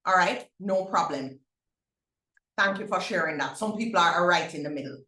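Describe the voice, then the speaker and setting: A woman's voice: fairly low pitch, normal speed, bright, forceful tone, reverberant. A middle-aged Jamaican woman hosting a parents' webinar, upbeat, with many fillers and restarts. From Barbados. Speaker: webinar host. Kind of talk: webinar info session.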